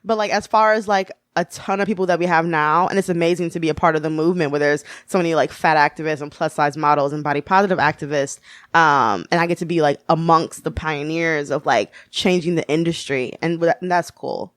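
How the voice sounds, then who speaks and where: A woman in her thirties, a guest on a podcast, talking casually and joking, laughing often and jumping up in pitch when she quotes people. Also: fairly quick, dry recording. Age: 30s. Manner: animated, jokey, laughs often, jumps up in pitch for quoted asides. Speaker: guest, author and model. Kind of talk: casual interview chat.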